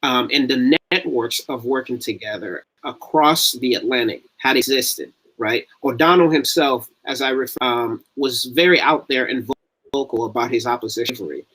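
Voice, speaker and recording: A man gives a scholarly answer in a panel discussion. His pitch is average for a man, and his delivery is hesitant and searching, broken by frequent pauses as he builds long formal sentences. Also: pushed and bright, breathy edge, poor audio. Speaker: panelist, academic.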